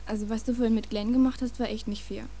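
A woman's voice: fairly high pitch, steady tone, fast talker, dark tone, a little hiss or hum, lightly acted. An actress playing a feisty teenage girl in a horror audio drama. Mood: playful, sleepy.

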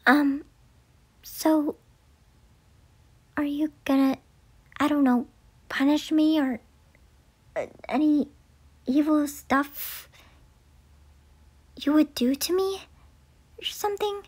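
A woman voicing a timid, sweet young female cartoon pony who talks hesitantly, with music underneath. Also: high voice. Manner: hesitant, halting. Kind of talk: cartoon comic dub.

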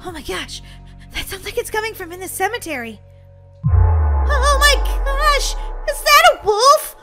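A woman's voice: very high voice, lively intonation, normal speed, pushed and bright, faint music behind, full character voice. A woman voicing a frightened young orphan girl on the run in a children's gaming roleplay, talking to herself in dramatic exclamations. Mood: terrified. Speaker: gaming channel host.

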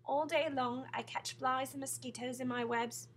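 Woman putting on a plaintive lady spider, high voice. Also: steady tone, normal speed, slight background noise, close to an everyday voice. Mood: mournful, aggrieved. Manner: plaintive, dignified. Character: mournful, decent, wronged lady spider. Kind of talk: children's storybook character reading.

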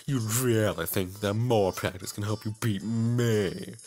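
A man voicing a haughty, bratty rich teenage gymnast rival with pompous, loud delivery. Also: lowish voice, steady tone, unhurried, faint music behind, close to an everyday voice. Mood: scornful.

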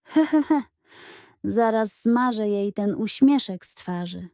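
A woman's voice: medium pitch, expressive, takes it slowly, mellow, no room sound, clean studio sound. A woman voicing a mischievous, defiant schoolboy who mutters schemes under his breath in a children's audio story. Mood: scheming. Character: mischievous defiant schoolboy plotting revenge on teacher.

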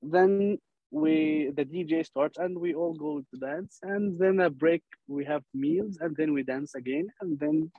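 A man in his thirties from Egypt, a film director with an Egyptian accent and Arabic as first language, talking in a halting way with restarts over a video call. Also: fairly high pitch, no room sound, phone-quality sound. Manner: halting, restarts words, soft-spoken.

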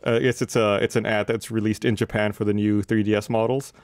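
A man's voice: lowish voice, little pitch movement, brisk, no room sound. A man in his thirties hosting a casual panel discussion on a podcast, speaking close to a studio microphone. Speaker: podcast host. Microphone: close studio microphone on desk.

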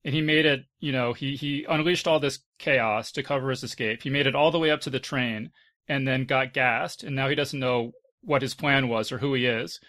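A man with a mid-pitched voice hosts a casual panel chat. His delivery is conversational and halting, as he works through each thought aloud. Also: fairly even intonation, fairly bright tone. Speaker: podcast host.

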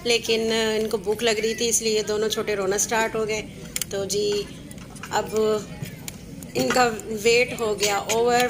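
A woman's voice: on the high side, noisy background. Chatty woman vlogging on a phone. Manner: chatty, explains at length with many fillers. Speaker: family vlogger. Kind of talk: casual vlog narration. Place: outdoor restaurant lawn at night.

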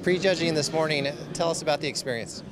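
A man with a slightly high voice conducts a casual interview. He puts short, conversational questions to his guest in a large indoor hall. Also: fast, over a noisy crowd.

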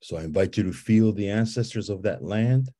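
Man, from Guatemala, very low voice, husky, slow calm guided visualization, repetitive gentle phrasing. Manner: slow, calm and repetitive, with soft repeated invitations. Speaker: ceremony leader guiding a visioning exercise.

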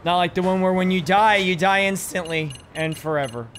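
A hoarse man in his thirties, casually commenting on a video game as he plays it, reading the subtitles aloud and swearing offhand. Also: high voice, fairly quick, a bit bright, a little hiss or hum. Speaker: streamer. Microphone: headset or desk mic, webcam.

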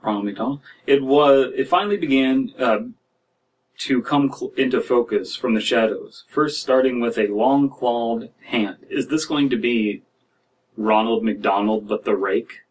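Guy in his 30s doing casual story commentary, kinda halting and wry, medium pitch, bit far from the mic. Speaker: podcast host reading and reacting to horror stories. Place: small dim room indoors.

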